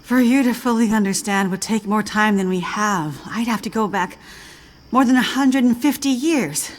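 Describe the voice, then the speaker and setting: An actress playing an older woman scientist in a video game, brusque and intense, speaking in a measured, learned and emphatic way. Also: mid-range voice, natural voice. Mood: grim. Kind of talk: dramatic video game acting.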